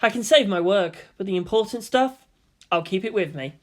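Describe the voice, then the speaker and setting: A woman voicing a serious, reserved and quietly calculating teenage boy in a fan fiction reading. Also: mid-range voice, expressive, normal speed, natural voice. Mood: polite, reserved.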